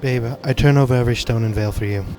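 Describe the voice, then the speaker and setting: A man voicing a gentle, supportive young wife who speaks softly and reassuringly in a dramatic story reading. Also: mid-range voice, very fast, soft background music, voice pushed up, natural voice. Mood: devoted, soothing. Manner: soft, reassuring.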